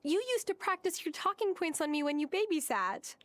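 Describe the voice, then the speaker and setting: Young village friend, high voice, very animated melody, chatty, fond, cozy video game dialogue. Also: female voice, a little fast, no room sound, exaggerated voice.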